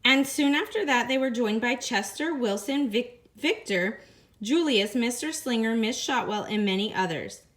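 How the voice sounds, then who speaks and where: Higher-voiced woman reading a picture book aloud. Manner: expressive, slows down on repeated phrases. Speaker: teacher reading to students. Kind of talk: read-aloud storytelling.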